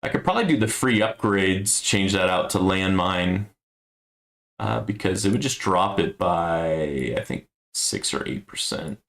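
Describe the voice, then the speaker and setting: Gravelly low-voiced man streaming a game. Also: lively intonation, unhurried, fairly bright tone. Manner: thinking aloud, low-key and musing. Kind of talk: casual gameplay commentary.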